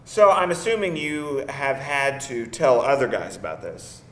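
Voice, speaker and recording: A man's voice: medium pitch, animated, measured pace, a bit bright. Dry young man, played by an actor, distant and echoey. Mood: dry, curious. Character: dry, curious young man hearing his date's stories. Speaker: actor at a screenplay table read. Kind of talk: conversational live script reading. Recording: echoey room, distant mic, faint noise.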